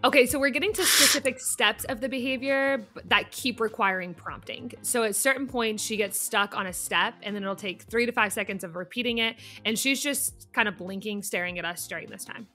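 A woman's voice: a little high, brisk, few pauses, sharp tone, soft background music. A woman in a casual improvised role-play as a behavior analyst, phrasing things hesitantly.

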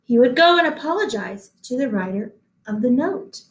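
A woman in her thirties reading a picture book aloud to children, deliberate and expressive, pausing to address listeners at home. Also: a little high. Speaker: read-aloud host, teacher. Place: small indoor office-like room. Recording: echoey small room.

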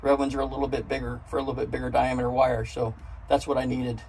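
A man's voice: medium pitch, level tone, quick pace, creaky, a little mellow, slight background noise, rough recording. A middle-aged man with an American accent giving a casual hands-on tutorial, explaining plainly step by step. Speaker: presenter of a do-it-yourself boat video. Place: on and around a boat outdoors, and at a workbench.

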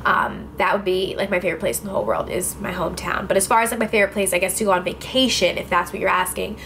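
A woman's voice: mid-range voice, quick pace, a bit bright, slight background noise. Chatty twenty-year-old woman vlogger. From New Jersey. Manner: chatty, filler-heavy, lots of "like" and "I don't know". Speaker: beauty vlogger. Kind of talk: casual Q&A vlog. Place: indoors, small room with clothes rack behind. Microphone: camera facing her at close range.

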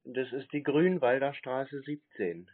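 A man speaking as a customer on a phone call, in a brief, deadpan manner. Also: medium pitch, takes it slowly, breathy edge. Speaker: prank caller posing as customer. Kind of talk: terse phone conversation. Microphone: telephone. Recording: tinny, narrowband phone line.

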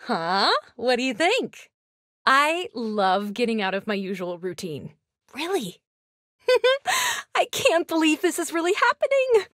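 A bubbly young princess from a video game. Her voice is very high and swings wildly up and down with breathless, sweet eagerness. The recording is dry and close. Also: slow, dry recording, heavily acted. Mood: curious. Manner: breathless, excitable, sweet, expressive. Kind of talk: animated video game character voice clips.

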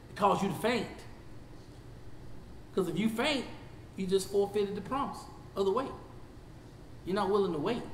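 A man in his fifties with a Southern US accent teaching a Bible study as pastor. He swings from shouting to low talk, recorded in a church sanctuary on a lapel mic. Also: echoey room, long reverb tail, a little hiss or hum, rough recording. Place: church sanctuary, seated before a projection screen.

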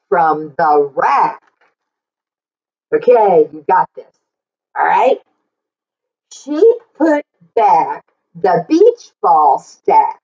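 A middle-aged woman reading aloud to young children in an animated, sing-song way, with encouraging asides. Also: medium pitch, lo-fi sound. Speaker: children's story reader and teacher. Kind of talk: read-aloud for young children, repeating lines slowly. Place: small plain indoor room.

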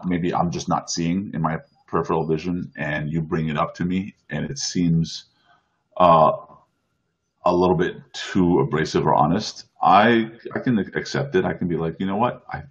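A man's voice: low voice, moderate pace, slightly rough. A man in casual chat on a streamed show, earnest, often restarting his sentences over a video call. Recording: dull lo-fi stream audio.

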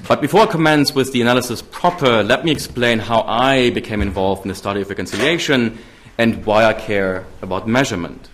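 A man in his thirties with a German accent, giving a formal keynote lecture at a podium microphone in a large hall, measured with frequent ums. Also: fairly low pitch, fairly bright tone, slight background noise. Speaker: keynote speaker. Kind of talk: academic lecture.

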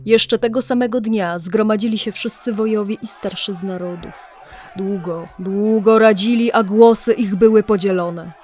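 A woman's voice: medium pitch, steady tone, normal speed, darkish tone, faint music behind. A woman narrating a legend as a solemn, dramatic storyteller in archaic, scripted language.

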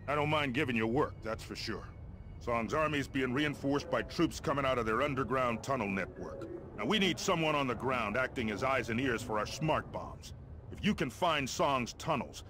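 Gruff American colonel for a military game, played by a male actor; mid-pitched, blunt, wry, impatient commander, faint background noise. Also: a bit bright, natural voice. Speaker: army colonel, commander giving mission briefings. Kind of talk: video game character dialogue.

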